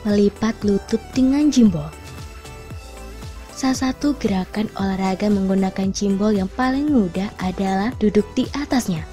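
A woman reading scripted instructional narration in a measured, clearly enunciated way. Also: medium pitch, dark tone. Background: background music.

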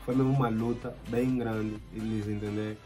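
A young man in his twenties with an Angolan Portuguese accent, the channel host, telling a story in relaxed casual chat full of slang and fillers. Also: lowish voice, moderate pace, music in the background. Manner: relaxed, fills with "yeah" and "tipo", slang. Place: indoor room, flag backdrop.

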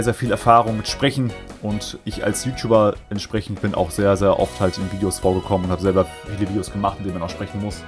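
A man with a calm voice talking informally and explaining his work as a narrator. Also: on the low side. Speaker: fan dub narrator and video creator. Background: background music.

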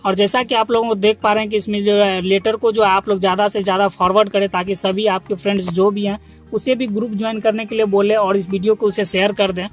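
A man's voice: high voice, flat delivery, fast. Man presenting over background music. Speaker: YouTube channel host. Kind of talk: informational update to viewers. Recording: music under the voice.

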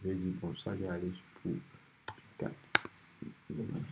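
A man with a cold, calmly walking through a software design tutorial step by step over a screen recording. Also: low voice, moderate pace, heavy background noise. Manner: relaxed, step-by-step explaining with many restarts. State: has a cold.

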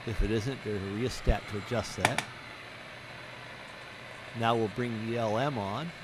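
A man's voice: fairly low pitch, a little slow. A middle-aged man giving a plain, matter-of-fact step-by-step demonstration as an amateur radio operator. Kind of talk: step-by-step instructional narration. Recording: clearly audible background noise.

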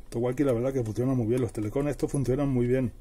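A man's voice: medium pitch, steady tone, fast talker, mellow, breathy edge. A middle-aged man giving a casual hands-on how-to, explaining plainly step by step. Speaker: presenter of a DIY tutorial. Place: indoors at a worktable.

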